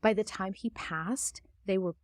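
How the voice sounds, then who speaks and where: A woman guest in a conversational interview, talking about her book in a reflective, soft-spoken storytelling manner. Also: medium pitch, measured pace, no room sound, clean studio sound. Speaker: author and guest. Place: studio.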